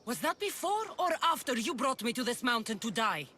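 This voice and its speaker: Angry warrior woman from a game, defiant, scornful, sharp voice, really animated. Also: fairly high pitch, brisk, a little hiss or hum. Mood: cold bitterness. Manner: scornful, challenging, intense. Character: fierce, bitter female warrior, cult champion, defiant. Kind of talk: dramatic video game voice acting.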